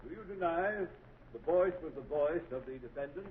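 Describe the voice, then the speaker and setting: An actor playing a stern, official judge in a 1930s radio drama, heard through an old tinny radio broadcast with faint hiss. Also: male voice, a little high, expressive, normal speed, lightly acted. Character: stern male judge presiding in court.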